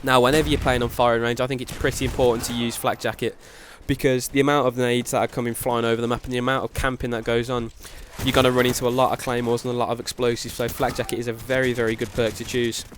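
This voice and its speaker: A young man with a cold narrates gameplay in a quick, steady flow. His voice sounds nasal and stays flat, with faint gunfire and explosions from the game behind him. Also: mid-range voice, slight background noise. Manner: quick, even delivery with repeated "very, very". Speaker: gaming commentator. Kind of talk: gameplay commentary with tips.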